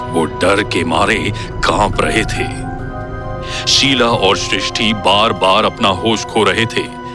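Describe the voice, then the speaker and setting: Male horror storyteller: low, unhurried, dramatic scripted narration over background music.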